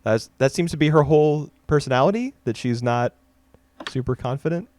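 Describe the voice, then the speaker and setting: A man's voice: mid-range voice, brisk, darkish tone. A man co-hosting a podcast, chatting casually and analytically with fillers and restarts. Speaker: podcast co-host. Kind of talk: casual anime discussion.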